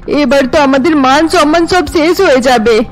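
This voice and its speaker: A woman's voice: high-pitched, normal speed, natural voice, poor audio. A woman playing a harsh middle-aged mother obsessed with family honour in a cartoon, scolding melodramatically. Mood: indignant. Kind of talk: cartoon voice acting.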